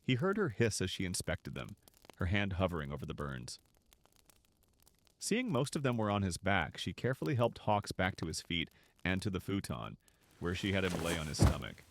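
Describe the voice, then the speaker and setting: Brief: male narrator for dramatic fiction, low voice with lively rise and fall, expressive scripted read. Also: normal speed, a little hiss or hum. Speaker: narrator and voice actor.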